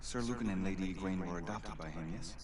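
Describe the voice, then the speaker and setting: A man's voice: low-pitched, fast, a loud crowd, close to an everyday voice. A man voicing a suave, eager French marquis in a video game, with a French accent and a courteous, inquisitive manner. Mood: courteous, curious. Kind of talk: video game cutscene acting. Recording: distant game audio, background voices.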